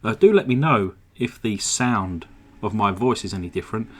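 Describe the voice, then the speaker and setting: Croaky, relaxed man narrating his workbench restoration. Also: fairly low pitch, normal speed, a little hiss or hum. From England. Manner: relaxed, chatty, many "uh" fillers. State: slightly croaky, sore throat. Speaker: model car restorer. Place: home workshop. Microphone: desk microphone.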